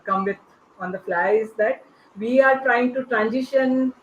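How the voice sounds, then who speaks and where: Female podcast host, lo-fi sound with faint noise, casual, halting delivery. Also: a little high. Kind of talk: casual, unscripted discussion. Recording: muffled, clearly noisy connection.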